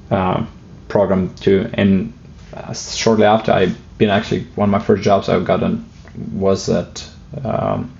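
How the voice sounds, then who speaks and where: A man with a Russian accent talks in a low, dark voice that barely rises or falls. He speaks hesitantly, with many fillers and restarts, and now and then slips in a light joke. The sound is muffled and lo-fi. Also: creaky. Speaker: guest. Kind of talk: casual interview talk.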